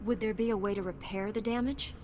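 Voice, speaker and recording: A woman playing a calm, earnest knightly heroine in a video game, speaking in a composed, formal manner. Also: mid-range voice, quick pace, lightly acted. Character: calm, earnest female Jedi knight hero. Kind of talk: video game character dialogue. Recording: reverberant hall with background noise.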